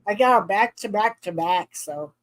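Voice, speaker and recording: A twenty-four-year-old woman chatting casually, hesitant with fillers, quick to correct others. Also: medium pitch, lively intonation, dry recording. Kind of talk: casual stream chat.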